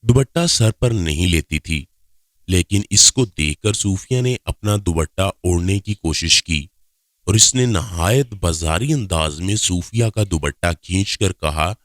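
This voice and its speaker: A man's voice: low voice, unhurried, a bit bright, no echo, studio quality. A man reading a scripted first-person story as its narrator.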